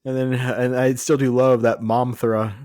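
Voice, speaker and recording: A man's voice: mid-range voice, little pitch movement, a little slow, darkish tone, dry recording. A man co-hosting a podcast, chatting casually about movies in a rambling, sardonic way. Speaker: podcast co-host.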